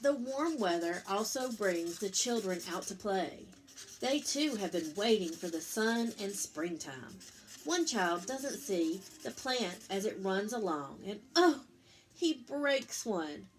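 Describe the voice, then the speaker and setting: Unhurried woman reading to children. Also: mid-range voice, lively intonation, a little hiss or hum, rough recording. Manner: explains to young listeners, asking them questions. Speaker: teacher reading a picture book aloud. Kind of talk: children's story read-aloud with explanatory asides.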